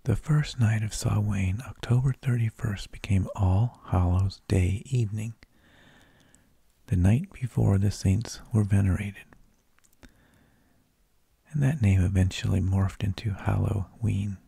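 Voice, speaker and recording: A man narrating in a soft, breathy ASMR whisper, with slow, deliberate phrasing, close to the microphone. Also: lowish voice, dry recording. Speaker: ASMR host.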